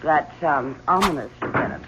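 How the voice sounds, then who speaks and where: An actress playing a cool, wry woman in a radio drama, arch and sardonic, pausing deliberately. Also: low voice, heavy background noise, close to an everyday voice. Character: cool, wry, self-possessed woman, secret lover. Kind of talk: 1970s radio drama acting. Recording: muffled, humming old radio broadcast.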